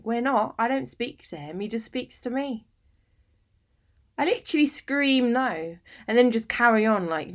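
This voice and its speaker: A woman's voice: mid-range voice, a bit bright. A woman with a British accent acting a conversational monologue as a lonely, eccentric, wryly matter-of-fact woman, chatty and self-deprecating. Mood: defiant, wry. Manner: chatty, colloquial, self-deprecating, tag questions. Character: lonely, sleep-deprived, eccentric woman, wryly matter-of-fact about her bleak life. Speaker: monologue performer. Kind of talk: confessional dramatic monologue, conversational.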